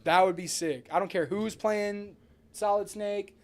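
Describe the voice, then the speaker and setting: A male podcast host with a voice a little higher than most men's. He talks in an excitable, slangy way, swearing freely and circling back over his phrases as he chats. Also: unhurried. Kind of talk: casual podcast chat.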